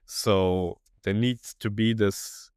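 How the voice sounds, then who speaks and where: A man's voice: on the low side, steady tone, slow, a little mellow, clean tone, no room sound, clean studio sound. A young German man whose first language is German, talking as a podcast guest in casual conversation, hesitant and full of restarts as he thinks aloud. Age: young adult. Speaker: guest researcher.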